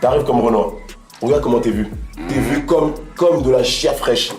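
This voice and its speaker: A young man making an animated, argumentative point in a street interview. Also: mid-range voice, normal speed, echoey room, faint music behind.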